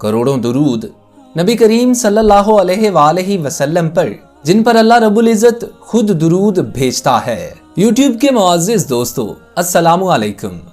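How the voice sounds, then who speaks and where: Male narrator for religious moral stories, fairly high voice, formal and reverent, set over background music. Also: sing-song, normal speed, a little mellow. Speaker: storyteller and channel host.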